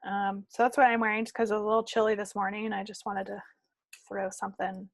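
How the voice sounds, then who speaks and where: A woman co-hosts a craft podcast with a noticeably creaky, fry-edged voice at an average female pitch. She chats casually and rambles a little from point to point. The recording is dry and free of room echo. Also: level tone, fast talker. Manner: chatty, rambling. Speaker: co-host of a knitting podcast. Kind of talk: casual, unscripted craft chat.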